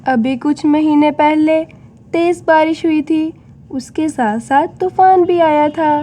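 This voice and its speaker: A woman's voice: high voice, takes it slowly, warm tone, slight background noise. A woman voicing a kindly, caring human grandmother in a cartoon story. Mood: earnest. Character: kindly human grandmother raising a bird chick.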